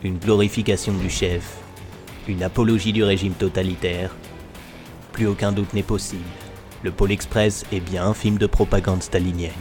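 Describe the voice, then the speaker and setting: A man's voice: deep voice, little pitch movement, moderate pace, darkish tone. A man narrating a mock documentary in a grave, conspiratorial manner. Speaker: narrator of a satirical investigation video. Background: background music under the voice.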